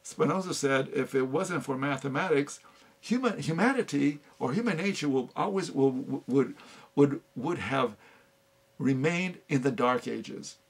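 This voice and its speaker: An older man giving an unscripted talk on philosophy, halting often with fillers and restarts. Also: medium pitch, lively intonation, slightly rough. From United States. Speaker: speaker on philosophy.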